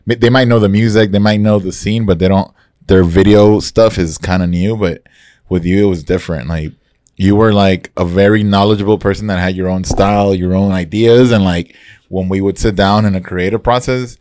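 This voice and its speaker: A man's voice: sits low, fairly even intonation, moderate pace, a little mellow, dry recording, clean studio sound. A man co-hosting a conversation, chatting casually with plenty of slang. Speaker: interviewer, podcast co-host. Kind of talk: casual banter, slangy chat.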